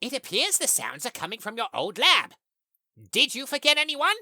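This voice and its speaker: A woman playing a loud, excitable, goofy skeleton monster in an audio drama, with a sharp, raspy voice. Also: high-pitched, normal speed, heavily acted. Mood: puzzled.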